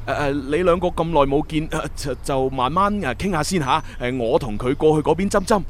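An actor playing an earnest, analytical young time agent in a Cantonese sci-fi radio drama, with a Hong Kong accent. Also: male voice, a little high, brisk, slight background noise, lightly acted. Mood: flustered.

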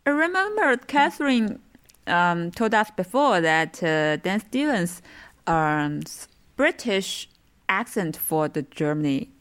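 A woman in a casual film discussion, speaking as a panelist in a halting way, searching for words. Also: lowish voice, sing-song, a bit bright, clear, resonant tone. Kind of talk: casual chat.

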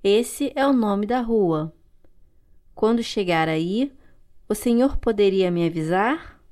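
Slow, careful female lesson reader. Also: mid-range voice, expressive, a little mellow. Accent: Brazilian Portuguese. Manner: slow, clear, carefully articulated. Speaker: language course dialogue reader. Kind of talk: slow scripted language-lesson reading.

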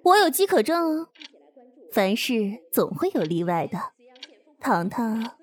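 A woman's voice: on the high side, very animated, slow pace, full character voice. A woman acting a brazen, seductive female boss in a modern radio drama, teasing and provocative. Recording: music behind the voice.

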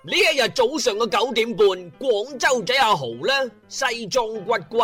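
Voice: mid-range voice, expressive, normal speed, bright, forceful tone, breathy edge. A narrator with a Cantonese accent telling a comic audio drama story in a colloquial, teasing manner. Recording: music under the voice. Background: music.

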